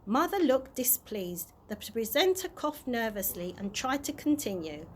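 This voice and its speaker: A woman reading a children's story aloud with expressive, animated narration. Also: fairly high pitch, unhurried, a little hiss or hum. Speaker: early years practitioner reading aloud.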